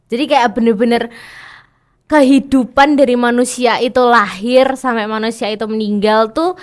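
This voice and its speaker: A woman's voice: a little high, steady tone, normal speed. A young woman hosting a casual talk show, chatty and upbeat, eagerly prompting her guest. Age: young adult. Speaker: host.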